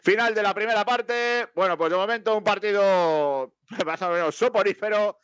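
A chatty man calls a football match live, rambling between plays and laughing easily. His voice sits a little high for a man. The sound is dry and slightly dull, with no room around it. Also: moderate pace, a bit bright, smooth, no room sound. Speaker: football commentator. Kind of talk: casual live match commentary.

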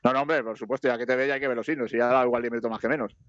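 Fast-talking man chatting football. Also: mid-range voice, steady tone, no echo, highs cut off. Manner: rambling, repeats "sí, sí" and "efectivamente". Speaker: co-host watching a football match. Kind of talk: casual match-watching chat with commentary.

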